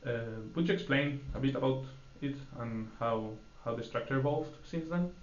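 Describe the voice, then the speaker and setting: A man's voice: mid-range voice, normal speed, a little hiss or hum. A man interviewer asking friendly questions, with fillers along the way. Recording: echoey room, dull lo-fi sound.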